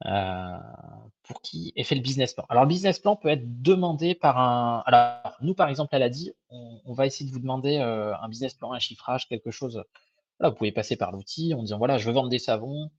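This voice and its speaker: A young man with a slightly hoarse, husky voice walks viewers through a webinar in a friendly, informal way. He hesitates often and restarts his phrases. The sound is dull and lo-fi, like a web call, and very dry. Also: mid-range voice, a little fast, no room sound. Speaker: microfinance advisor presenting a webinar. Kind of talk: casual live webinar presentation.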